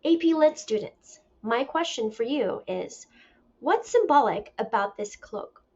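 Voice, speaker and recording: A woman teacher reading a picture book aloud as a lesson, with deliberate, expressive storytelling. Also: on the high side. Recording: dull lo-fi recording.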